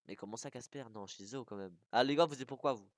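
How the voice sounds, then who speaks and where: A woman's voice: very deep voice, quick pace, no room sound, clean studio sound. A young woman in casual group banter over a cartoon tier list, slangy and argumentative, with plenty of swearing.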